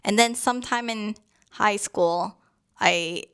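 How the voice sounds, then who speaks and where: A young woman whose first language is Cantonese, chatting casually as a co-host and telling stories softly, with many pauses and fillers. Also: mid-range voice, clean tone. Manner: soft, hesitant storytelling with many fillers. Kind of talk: casual chat.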